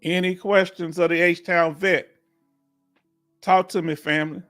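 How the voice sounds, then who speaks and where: Folksy man in his fifties, higher voice. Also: flat delivery, unhurried, fairly bright tone, faint music behind. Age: 50s. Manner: folksy, repeats himself, coaxes the audience to join. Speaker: livestream host and veteran claims advisor. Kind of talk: casual live Q&A talk.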